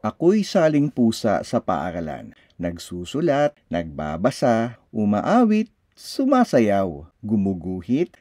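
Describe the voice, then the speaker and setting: A man with a Filipino accent reading a children's picture book aloud, expressively, with deliberate, drawn-out phrasing. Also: medium pitch, mellow, no echo, clean studio sound.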